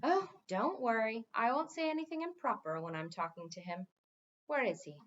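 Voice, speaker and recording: A woman's voice: a little high, expressive, moderate pace, highs cut off, slight background noise, natural voice. Woman reading as a blunt, wry storybook king. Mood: wry, brisk. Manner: plain-spoken, wry. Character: blunt, informal young king, wry and impatient.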